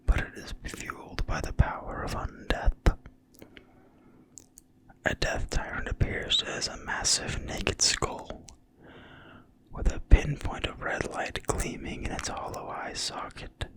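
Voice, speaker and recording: An ASMR creator reading in a quiet, breathy, soothing whisper with no voicing at all. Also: poor audio. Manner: quiet, slow, soothing delivery. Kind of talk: whispered ASMR reading of a fantasy game manual.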